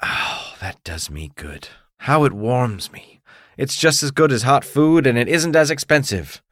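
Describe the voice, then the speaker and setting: Tired washerwoman mum, soft and pleading, voice pushed way up by a guy reading, dragging out the ends. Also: medium pitch, animated, no echo, clean studio sound, voice pushed up. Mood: tender. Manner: fond and pleading, drawn-out endings. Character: washerwoman mother, tired, cold, tender.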